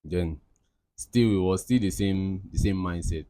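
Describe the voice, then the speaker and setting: A man's voice: low voice, unhurried, mellow, no room sound, studio quality. A young man from Cameroon, answering interview questions in a rambling, casual way with lots of fillers and restarts. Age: young adult. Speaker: interviewee, aspiring footballer.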